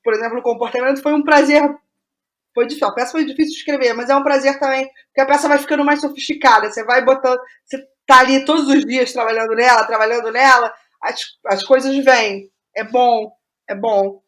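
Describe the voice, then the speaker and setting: A woman's voice: high voice, fairly even intonation, brisk, a bit bright. A Brazilian woman being interviewed as a playwright, talking informally and reflectively about her creative process, often repeating phrases as she goes. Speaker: playwright guest.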